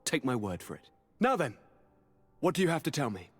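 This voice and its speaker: An actor playing a young noble governor in a video game, sickly and restless, with a theatrical, courtly and expressive delivery. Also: male voice, medium pitch, a little fast, slightly rough. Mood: eager, reassuring. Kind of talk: video game cutscene dialogue.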